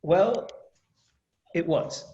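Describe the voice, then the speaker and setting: A man playing a slow-talking nerdy magician. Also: mellow, dull audio. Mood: meek, hopeful. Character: nerdy, awkward amateur magician, former accountant. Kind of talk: virtual screenplay table read.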